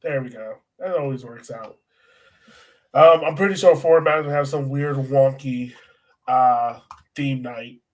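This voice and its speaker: A man's voice: medium pitch, unhurried. A man co-hosting a sports podcast, chatting casually in informal, slangy language and often turning to his co-host.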